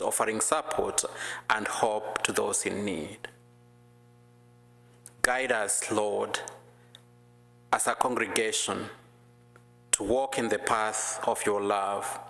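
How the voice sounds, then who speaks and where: Guest preacher, man, non-native English speaker, low voice, slow deliberate delivery, church echo. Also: bright, forceful tone. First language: not English. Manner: slow, deliberate, careful phrasing. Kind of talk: prayer and sermon. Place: church sanctuary. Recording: echoey church hall.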